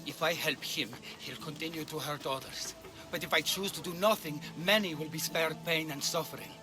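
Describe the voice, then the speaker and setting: A man acting as an ancient healer torn by his conscience. His delivery is earnest and measured. It is game drama with clear music behind the voice. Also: a little high, natural voice. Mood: troubled. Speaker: video game character. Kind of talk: dramatic game cutscene dialogue.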